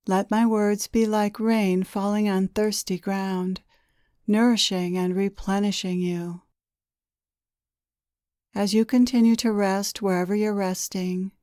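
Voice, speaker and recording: A woman narrates a guided meditation in a gentle, soothing manner. Her delivery is very unhurried, and her voice is smooth and clean. The sound is close and dry, with deliberate space left between phrases. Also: mid-range voice, no echo. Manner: slow, gentle, soothing instruction with deliberate pauses. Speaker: guided meditation leader, channeler.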